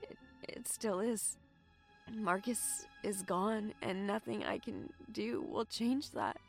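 A woman playing a shaken but defiant young survivor in an audio drama, halting and emotionally raw. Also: mid-range voice, gravelly, faint music behind. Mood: grieving.

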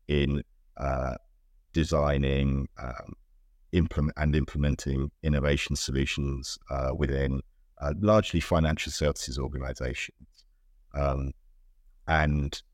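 A man's voice: very deep voice, no echo, studio quality. A middle-aged British man from the UK answering interview questions in a thoughtful, hesitant way, with many ums and restarts. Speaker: guest, innovation director.

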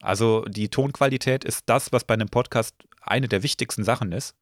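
A slightly hoarse man hosting a casual podcast, relaxed and full of filler sounds. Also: mid-range voice, a little fast, dry recording, clean studio sound. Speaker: podcast host.

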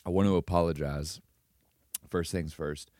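Very deep-voiced congested man. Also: steady tone, moderate pace, darkish tone, no echo, studio quality. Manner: rambling, jokey storyteller. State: congested, nasal from allergies. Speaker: podcast co-host. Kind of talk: casual chat.

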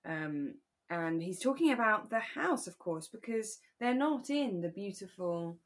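A woman's voice: medium pitch, lively intonation, a little slow. British female podcast host, easygoing conversational delivery, informal and unscripted. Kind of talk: relaxed casual chat.

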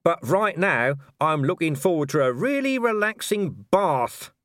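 A man's voice: on the high side, very animated, measured pace, a bit bright, no room sound, pitched above the performer's own voice. A man voicing a weary, blunt police commander in a storybook reading, with dry, mock-innocent sarcasm. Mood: sardonic.

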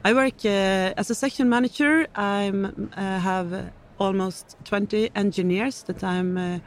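A woman's voice: mid-range voice, steady tone, measured pace. A woman, a section manager, answering interview questions in a matter-of-fact, businesslike way. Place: live event stage.

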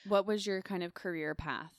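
A woman's voice: mid-range voice, normal speed, dry recording, clean studio sound. A woman co-hosting a podcast, asking questions in an upbeat, playful way. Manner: upbeat, interviewing with playful slang. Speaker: podcast co-host. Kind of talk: casual chat.

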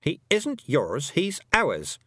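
A man's voice: fairly high pitch, wildly swooping pitch, slow pace, sharp tone, husky, no echo, clean studio sound, pitched above the performer's own voice, exaggerated voice. A man voicing a stubborn young witch girl in a storybook, blunt, indignant and challenging. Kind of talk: audiobook character acting.

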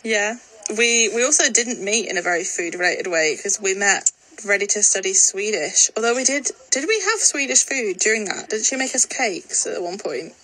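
A woman's voice: mid-range voice, animated, normal speed, pushed and bright, low-quality recording. A woman in her twenties co-hosting a casual podcast chat, dry and matter-of-fact. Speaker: podcast co-host.

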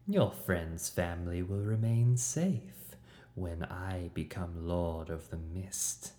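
A man reading a scheming male fright who coaxes and condescends in a calm, measured way. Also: sits low. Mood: tempting. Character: scheming male fright, silken manipulative villain. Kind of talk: audiobook character voice.

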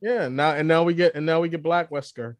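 A man co-hosting a podcast in joking, casual chat, often starting sentences in halting, stammered bursts. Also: a little high, dry recording. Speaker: podcast co-host. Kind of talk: casual joking chat about films and games.

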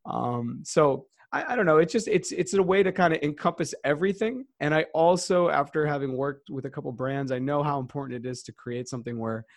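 A man's voice: fairly high pitch, brisk, vocal fry, few pauses, dry recording, studio quality. A man hosting a podcast in a casual conversation, talking in loose, rambling sentences full of restarts and fillers. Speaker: podcast host.

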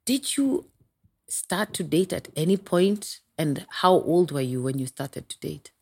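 A woman's voice: low voice, expressive, unhurried, vocal fry, darkish tone, husky. A woman with a Kenyan accent interviewing in a conversational way, engaged, interjecting and rephrasing what she hears. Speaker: podcast host.

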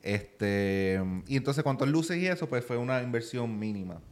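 A man with a Puerto Rican accent, a guest talking casually on a podcast, often filling pauses with "este". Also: mid-range voice. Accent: Puerto Rican Spanish. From Puerto Rico. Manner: relaxed, many "este" fillers. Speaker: guest, YouTuber.

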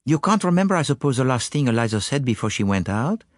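A courteous foreign detective, acted by a man in an audiobook. He is precise, formal and coaxing, with lilting, very lively intonation in a dry studio sound. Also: mid-range voice, quick pace, dry recording, pitched above the performer's own voice, lightly acted. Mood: kindly, inquiring. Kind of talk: audiobook character voice.

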